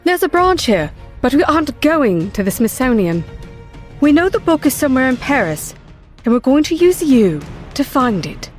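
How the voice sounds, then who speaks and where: A restless, wry woman who has lived very long and hunts down lost books. Her voice is high and swings expressively. She speaks with brisk, matter-of-fact confidence in a full-cast audio drama, over music. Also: big pitch swings, darkish tone.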